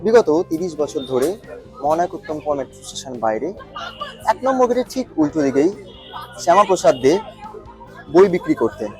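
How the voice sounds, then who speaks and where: A man's voice: on the high side, big pitch swings, a little fast, long pauses, music in the background, rough recording. A man giving an on-location news report, explaining with emphatic repetition.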